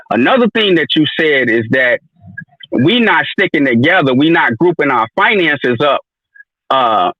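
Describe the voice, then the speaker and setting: A man's voice: fairly high pitch, no room sound. Lecturing man on a tinny line. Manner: lecturing, rambling with fillers. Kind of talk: audio chat room discussion. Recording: tinny phone-like audio.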